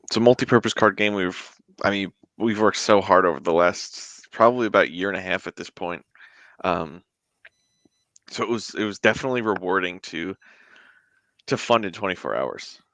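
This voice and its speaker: A man's voice: sits low, normal speed, fairly bright tone, dry recording. A man speaking as a guest in a casual interview, with a rambling, conversational delivery. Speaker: guest, indie tabletop game creator.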